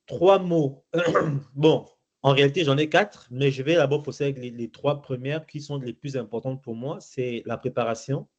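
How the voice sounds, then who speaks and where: Brief: middle-aged man from Gabon, interview guest, halting with fillers, average pitch, dull lo-fi sound. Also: steady tone. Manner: hesitant, many fillers and restarts. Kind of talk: casual interview answers. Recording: muffled lo-fi recording.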